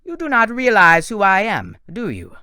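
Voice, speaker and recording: A man voicing a menacing male monster who speaks slowly and theatrically, gloating over his captives. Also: sits high, huge pitch swings, pushed and bright, smooth, no room sound, clean studio sound, voice pushed up, exaggerated voice.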